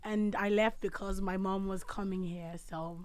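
A young woman from Zimbabwe, a spoken word artist, giving short, soft-spoken answers with fillers in a radio studio. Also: mid-range voice, steady tone, normal speed. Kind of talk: casual interview answers.

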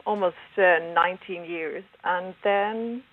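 A woman's voice: lowish voice, slow. A middle-aged woman from Denmark who grew up in Sweden, calling in to a radio show and teasing the host playfully. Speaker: caller. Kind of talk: phone-in chat. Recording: tinny phone line.

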